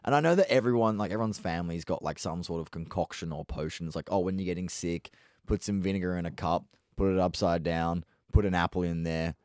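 Croaky sick man, low voice. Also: quick pace, darkish tone, no echo. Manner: dry teasing quips. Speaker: podcast co-host. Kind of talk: casual podcast chat.

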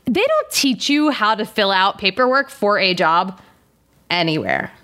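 A woman's voice: mid-range voice, big pitch swings, a little slow, fairly bright tone. A woman in her thirties hosting a podcast over a video call, chatty and rambling, laughing often. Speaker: podcast host. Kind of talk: casual podcast chat.

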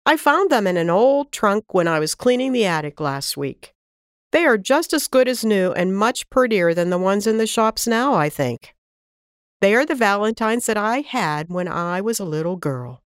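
A woman voicing a kind, busy young mother in a children's storybook, speaking gently and fondly. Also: mid-range voice, expressive, moderate pace, dry recording, studio quality, natural voice.

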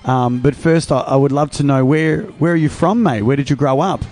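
Male podcast host, Australian accent, medium pitch, informal and matey delivery. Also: a little fast, a little mellow, soft background music. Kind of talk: casual interview chat.

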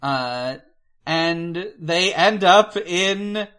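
A podcast co-host chatting casually about a film, halting and hesitant, with dry humour. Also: medium pitch, expressive, fairly bright tone, clear, resonant tone.

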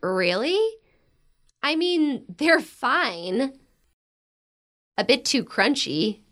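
A woman's voice: high voice, very animated, extremely slow, fairly bright tone, exaggerated voice. A woman playing a blunt, dramatic, protective teenage big sister in an audio drama, with emphatic delivery. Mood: grumbling. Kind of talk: audio drama acting, naturalistic dialogue.

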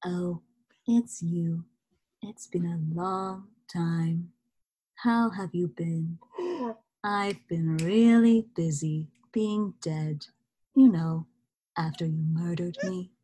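Menacing video game computer, female, breathy, synthetic, slow measured delivery, icy deadpan. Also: on the low side, rough recording. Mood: cold menace. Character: sinister passive-aggressive female artificial intelligence from a game.

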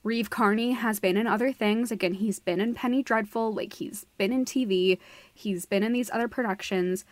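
A woman's voice: medium pitch, moderate pace, no echo. A woman co-hosting a podcast, giving her opinions casually in a frank, emphatic way. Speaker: podcast co-host.

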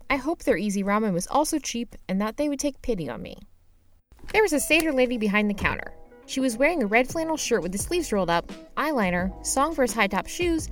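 A woman playing a scrappy, broke young mover who tells their misadventures in an expressive, slangy, playfully dramatic comedy audio-drama style. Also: on the high side. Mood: upbeat, hopeful. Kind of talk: first-person narrated comedic audio drama with acted dialogue.

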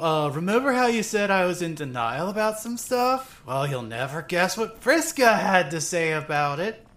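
Woman acting a tipsy, swearing young woman, very animated. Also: low voice. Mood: dismayed. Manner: rambling, drunken hesitations, sarcastic swearing. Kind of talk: first-person dramatic narration of a fan audio drama.

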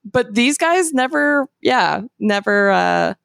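A woman's voice: fairly high pitch, lively intonation, slow pace, creaky, a bit bright, dry recording, clean studio sound. A woman co-hosting a casual food-tasting chat, telling stories and laughing often. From US Bay Area. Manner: chatty storyteller, laughs often. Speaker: co-host tasting candy.

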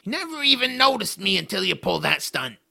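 Audio drama troll: small, fretful, sardonic grumbler with a sharp edge and wildly swooping delivery. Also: male voice, sits high, sing-song, fast talker, vocal fry, heavily acted. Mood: sarcastic. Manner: sarcastic, complaining. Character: small grumpy male troll, sarcastic, fretful, easily indignant.

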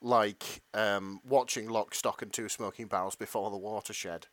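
A man's voice: on the low side, fairly even intonation, normal speed, fairly bright tone, no echo. A young man co-hosting a podcast, reacting with sardonic jokes. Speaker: podcast co-host. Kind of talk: casual chat.

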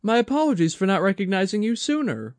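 Man voicing a young shrine maiden, high voice, eager, courteous, dry close sound. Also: big pitch swings, quick pace, no echo. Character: young woman shrine keeper, warm and eager.

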